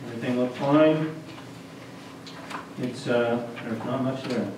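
A man chairing a council meeting, working through the agenda in a halting, procedural way. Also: medium pitch, steady tone. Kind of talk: running a formal meeting agenda. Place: small council chamber, indoors. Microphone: distant camera microphone. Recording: echoey, noisy room from far off.

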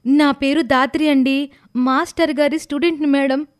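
A woman's voice: sits high, fairly even intonation, normal speed, voice pushed up, close to an everyday voice. A woman voicing an earnest, respectful young former student in a cartoon story, polite and plaintive.

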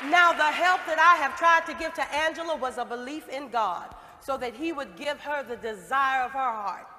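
A woman's voice: high-pitched, noisy background. A middle-aged woman playing a devout, outspoken maid in a musical stage play, with a folksy, emphatic delivery. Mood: earnest, firm. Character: devout, outspoken maid full of fervent faith. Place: theatre stage. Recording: live stage with long reverb, music.

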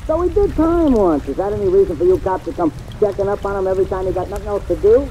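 A man's voice: sits high, lively intonation, fast, dark tone, muffled recording. An actor playing a plain-spoken male night garage attendant in an old radio drama, folksy, blunt and defensive. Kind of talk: 1940s radio drama acting. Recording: old radio broadcast, noisy with rain.

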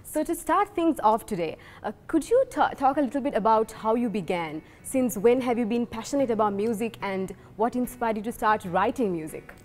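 A woman's voice: on the high side, expressive, normal speed, few pauses, a little mellow. A woman, hosting an interview with prepared questions. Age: 20s. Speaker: interviewer, host. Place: large brick-walled room with big windows, indoors. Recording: music behind the voice.

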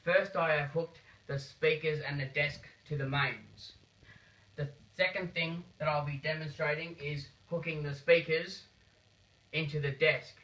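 A man giving a step-by-step practical demonstration in a deliberate, halting way, repeating his phrases, picked up by a phone camera in a small room. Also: a little high, bright, forceful tone. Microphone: phone camera held nearby. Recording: muffled phone video sound.

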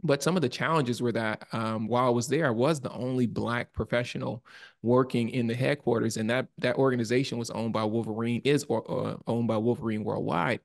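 Gravelly man on a dry video call. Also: medium pitch, steady tone, no room sound. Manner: measured and humble, repeating words as he thinks. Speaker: guest, marketing consultant. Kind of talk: interview answers. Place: room at home. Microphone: video call webcam.

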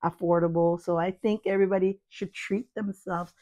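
A woman's voice: lowish voice, fairly even intonation, normal speed, dark tone, no echo. A middle-aged woman giving a casual, chatty product review in a playful, affectionate manner, often repeating her phrases. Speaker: video host reviewing products. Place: small cluttered room indoors.

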